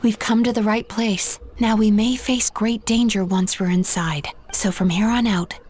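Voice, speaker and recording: A woman voicing a calm, protective young heroine in a video game, earnest and composed. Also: mid-range voice, moderate pace, a little hiss or hum, close to an everyday voice. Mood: earnest, cautious. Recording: faint game music behind.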